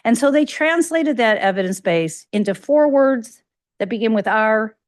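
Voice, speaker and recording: A woman's voice: on the high side, expressive, moderate pace, no echo, clean studio sound. Woman with a slight Chicago accent lecturing. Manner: conversational, self-correcting, with frequent fillers. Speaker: university researcher giving a grand rounds lecture. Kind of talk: academic lecture.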